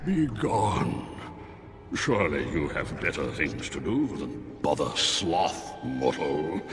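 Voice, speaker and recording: An actor playing a lazy, weary beast spirit in a video game, with a languid, condescending drawl. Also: male voice, extremely low pitch, sing-song, takes it slowly, heavily acted. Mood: bored, disdainful. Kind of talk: video game character acting. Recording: long reverb, music behind.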